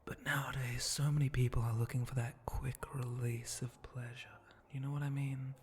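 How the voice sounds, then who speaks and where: A man voicing a flirty, teasing spider-monster bartender in an intimate ASMR roleplay, breathy and often close to a whisper, with a languid, suggestive way of talking. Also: medium pitch, flat delivery, a little hiss or hum, lightly acted. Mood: languid, musing. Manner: slow, languid, suggestive drawl with pauses and hums.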